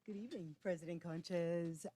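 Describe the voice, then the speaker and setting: A woman's voice: lowish voice, brisk. A woman with a big, enthusiastic voice giving a staff report at a school board meeting. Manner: loud and enthusiastic, says she has a big voice. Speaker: district staff presenter.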